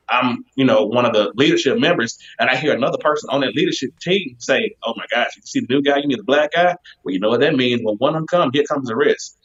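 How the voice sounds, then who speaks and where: Man, quick storyteller on a talk show, dull lo-fi recording. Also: mid-range voice. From west side of Birmingham, Alabama. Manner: animated storyteller, acts out quoted voices. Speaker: podcast host. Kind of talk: casual chat. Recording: dull lo-fi sound.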